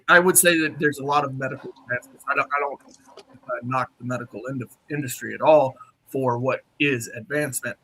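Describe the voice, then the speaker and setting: A blunt, opinionated man chatting on a panel, with a slow, unhurried delivery and a husky edge. His tone is bright and forward, a touch high. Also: poor audio. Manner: blunt, opinionated, laughs a lot. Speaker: co-host on a livestream panel. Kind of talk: casual banter.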